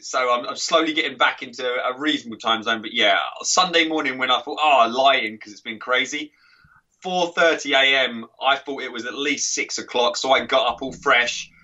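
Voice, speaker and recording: A man's voice: medium pitch, normal speed, sharp tone, recorded from a distance, lo-fi sound. A man with an English accent, a podcast guest and author chatting casually, rambling with restarts and laughing often. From England. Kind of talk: casual interview chat.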